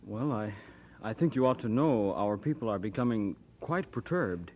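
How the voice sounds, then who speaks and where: A man's voice: lowish voice, expressive, narrow, tinny audio. Hesitant, worried churchman. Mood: uneasy. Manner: halting, formal, uneasy. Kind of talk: old-time radio drama acting. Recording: noisy vintage radio transcription.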